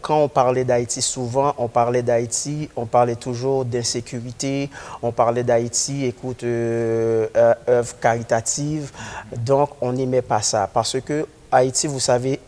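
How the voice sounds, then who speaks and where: A man's voice: mid-range voice, little pitch movement, unhurried, a little mellow. A young man from Haiti, a guest in a studio interview, speaking earnestly with many hesitations. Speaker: guest, community organizer.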